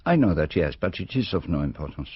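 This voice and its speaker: An actor playing a courteous, probing older foreign detective in a radio mystery, speaking in formal, precise, measured questions. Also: male voice, low-pitched, sing-song, slightly rough, dry recording, exaggerated voice. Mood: inquisitive, calm. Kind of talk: radio mystery drama acting. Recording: dull, lo-fi radio drama recording.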